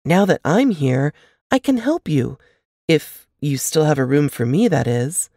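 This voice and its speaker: A woman voicing a frail but quietly resilient young woman in a novel reading, earnest and measured. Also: sits low, lively intonation, dry recording, studio quality, full character voice. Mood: grateful.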